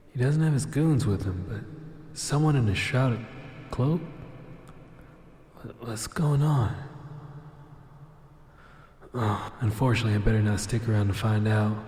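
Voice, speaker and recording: A man playing a wry, reckless cyberpunk operative, narrating his own adventure in an audio drama with deadpan theatricality. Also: medium pitch, a little hiss or hum, close to an everyday voice. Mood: musing, wry. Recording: roomy reverberant voice over music bed.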